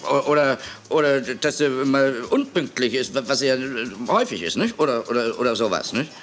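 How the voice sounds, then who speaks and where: A man's voice: medium pitch, expressive, normal speed, fairly bright tone, soft background music, lightly acted. A man playing a scatterbrained, fussy television producer in a children's audio drama, stammering and repeating himself. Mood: rambling explanation. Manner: stammering, repeating himself, losing his words.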